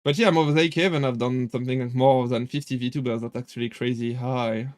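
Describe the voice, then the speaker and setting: A man's voice: medium pitch, steady tone, fairly quick, no room sound. A young man with a French accent chatting casually on a live stream, hesitant with many fillers and restarts. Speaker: VTuber streamer.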